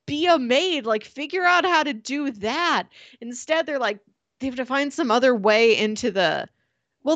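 A woman co-hosting a casual book discussion podcast, chatty and quick to laugh, often slipping into playful quoted lines. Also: a little high, fairly bright tone, no echo. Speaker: podcast co-host.

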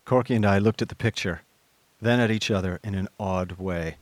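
A man playing a chatty gentleman narrator in a radio drama, telling his story in a conversational, old-fashioned way. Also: lowish voice, normal speed, dry recording, lightly acted. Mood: wry, reflective.

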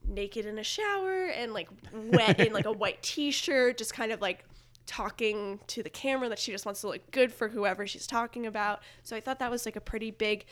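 A woman with a fairly high voice talks casually, as a guest on a chat show would. Her phrasing is loose and conversational, sprinkled with "like". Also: normal speed, fairly bright tone. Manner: conversational, heavy use of "like". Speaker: podcast guest presenting ranked music video picks. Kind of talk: casual chat about pop music.

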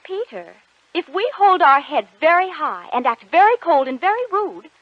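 An eager young woman in love, coaxing her sweetheart with bright schemes. Her voice is high and bouncy, recorded dry and close. The sound is a dull, lo-fi old radio broadcast. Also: big pitch swings, normal speed, no echo. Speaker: actress in a radio drama. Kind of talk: 1940s radio acting.

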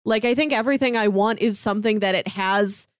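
A woman's voice: medium pitch, brisk, clear, resonant tone, no echo, clean studio sound. A woman chatting casually and enthusiastically as a podcast panelist, often dropping "like" into her sentences. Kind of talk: casual review chat.

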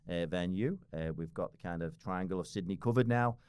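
Liverpool man, forties, podcast guest; very low, quick, rambling with restarts, dry close sound. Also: a little mellow, clean tone, no echo. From Liverpool, England. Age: 40s. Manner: rambling, many restarts and fillers, swears casually. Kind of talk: casual podcast conversation.

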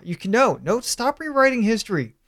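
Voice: medium pitch, big pitch swings, a little fast, a bit bright. A podcast co-host who riffs and jokes in casual, comedic conversation. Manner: joking, riffing.

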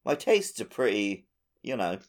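A man's voice: fairly low pitch, sing-song, a little slow. A man co-hosting a gaming podcast in casual chat, with a dry, joking manner. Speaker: podcast co-host. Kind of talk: casual chat about a video game.

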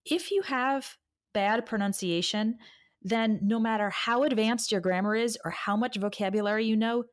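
A woman's voice: fairly high pitch, normal speed. A woman who works as an online English teacher, talking conversationally in an interview.

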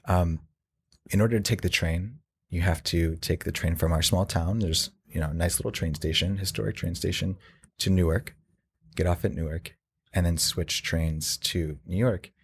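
A man from New Jersey recounting memories in a casual group conversation, halting with fillers. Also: low-pitched, steady tone, husky.

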